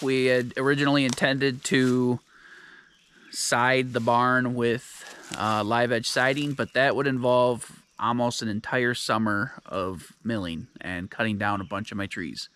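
A man's voice: mid-range voice, measured pace, clear, resonant tone. A man in his forties casually explaining a building project, filming outdoors on a homestead with a handheld camera. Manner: relaxed, explains as he works. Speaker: vlogger. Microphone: action camera held close.

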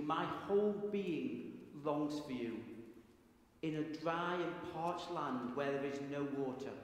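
A middle-aged man preaching a sermon in a large stone church, slow and deliberate with long pauses. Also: fairly high pitch. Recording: echoey church with long reverb.

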